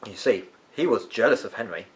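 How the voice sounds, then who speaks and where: A man's voice: on the low side, animated, brisk, lightly acted, poor audio. A man voicing a weary, tetchy but kindly eccentric uncle from a storybook, musing and emphatic in his delivery. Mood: musing, wistful. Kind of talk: storybook character voice.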